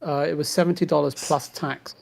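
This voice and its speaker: Man, fairly high creaky voice, hesitant roundabout phrasing, casual gaming chat. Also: steady tone, a little fast. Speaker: gamer on a podcast. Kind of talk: casual group chat about games.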